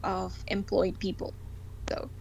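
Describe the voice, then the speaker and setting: A woman's voice: mid-range voice, completely flat delivery, unhurried, gravelly, slightly rough. Spanish lady guest on a podcast, giggly, kinda muffled call audio. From Spain. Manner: soft-spoken, laughs often.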